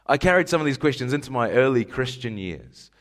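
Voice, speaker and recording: A man's voice: mid-range voice, lively intonation, a little fast, fairly bright tone. A man from Scotland, preaching a sermon in an emphatic style with rhetorical questions. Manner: emphatic rhetorical questions, rising to stressed lists.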